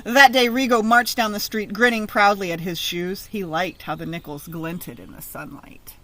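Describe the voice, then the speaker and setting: A woman reading a children's story aloud in an expressive, teacherly narrating style. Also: mid-range voice, normal speed.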